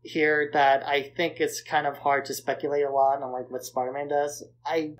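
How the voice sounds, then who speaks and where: A man's voice: on the high side, flat delivery, moderate pace. A man co-hosting a podcast, giving his opinions on films in casual conversation. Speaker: podcast co-host. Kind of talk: casual film discussion podcast.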